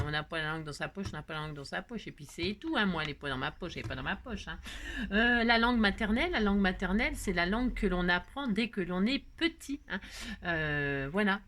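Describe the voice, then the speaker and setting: A woman's voice: mid-range voice, fairly bright tone. A woman hosting a craft video, chatting casually in a rambling, jokey way full of digressions. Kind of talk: casual chat.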